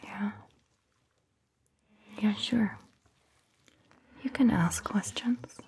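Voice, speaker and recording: A woman softly whispering an ASMR roleplay as a calm, caring vampire doctor, gentle and hushed with pauses, close to the microphone. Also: medium pitch. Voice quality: soft, breathy whisper.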